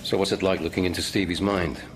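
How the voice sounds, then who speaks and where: A man's voice: low-pitched, steady tone, fast talker, noisy background, lightly acted. An actor playing a guarded, privately insecure lawyer in his thirties in a radio drama, talking in a conversational, questioning way. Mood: smooth, insistent. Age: 30s. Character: male lawyer nearing forty, probing, guarded, privately insecure. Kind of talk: scripted radio drama acting. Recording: music playing underneath.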